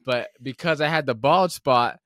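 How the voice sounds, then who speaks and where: A young man hosting a podcast, telling stories in a relaxed, rambling way with lots of filler words. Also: medium pitch, normal speed, no echo, clean studio sound. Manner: relaxed, rambling storyteller with many fillers and restarts. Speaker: podcast host. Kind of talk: casual podcast conversation.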